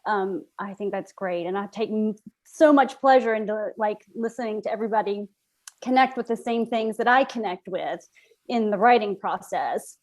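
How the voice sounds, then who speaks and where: A woman speaking as a playwright in an interview, thinking aloud in a halting, reflective way with rhetorical questions. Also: medium pitch.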